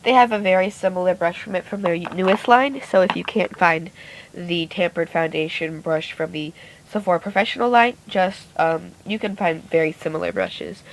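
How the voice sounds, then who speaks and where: A woman with a raspy voice giving a chatty, rambling makeup tutorial, often stopping to correct herself, recorded on a phone. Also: lowish voice, slight background noise, poor audio. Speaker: beauty vlogger. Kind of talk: casual makeup tutorial narration. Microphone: phone camera.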